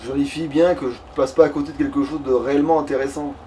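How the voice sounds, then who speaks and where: A man's voice: fairly high pitch, steady tone, fast talker, mellow. A man thinking aloud informally with some swearing as he plays a video game, picked up from a distance with faint noise. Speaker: let's play player. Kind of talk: casual gameplay chat while playing.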